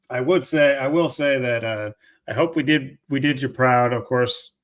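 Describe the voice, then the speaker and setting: A man's voice: mid-range voice, fairly bright tone. A man hosting a podcast, chatting casually and sympathetically with his co-host.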